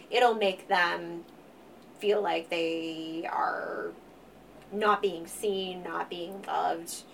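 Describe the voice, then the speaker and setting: Quiet-voiced woman a little off-mic. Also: lowish voice, far from the mic, a little hiss or hum, low-quality recording. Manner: quiet voice that gets louder when excited. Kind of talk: casual astrology chat.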